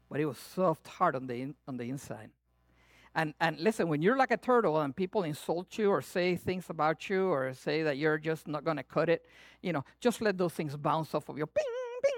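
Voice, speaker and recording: A male pastor with a Latin American Spanish accent, preaching a sermon in a playful, animated way that swings between loud emphasis and hushed asides. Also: high-pitched, dry recording, studio quality.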